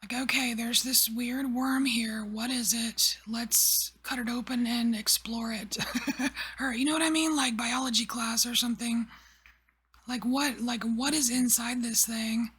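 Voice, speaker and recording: A woman giving a whispered tarot reading, rambling softly with many fillers. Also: on the high side, fairly even intonation, unhurried. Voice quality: breathy. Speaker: tarot reader.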